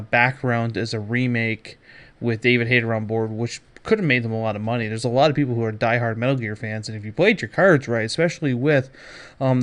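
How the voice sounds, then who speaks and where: Male podcast host, casual solo gaming commentary, blunt and opinionated, sweary, prone to sarcastic asides. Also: medium pitch. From Canada.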